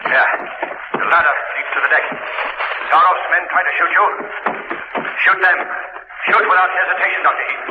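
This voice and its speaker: An actor playing a mysterious, commanding unseen avenger in an old radio drama, speaking with measured authority. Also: male voice, sits high, lightly acted. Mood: urgent. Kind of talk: 1930s radio acting. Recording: tinny scratchy old radio broadcast.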